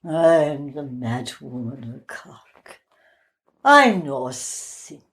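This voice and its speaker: An Irish woman actor playing a haunted, persecuted outcast madwoman in a plaintive, deliberate dramatic poetry reading. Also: rumbling low, expressive, full character voice. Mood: haunted, forlorn.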